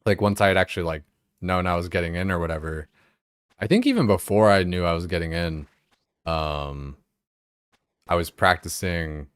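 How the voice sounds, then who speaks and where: A man's voice: low voice, clear, resonant tone, no echo, studio quality. A young man streaming a game, chatting casually in a rambling way, full of fillers and swearing. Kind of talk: casual chat while playing.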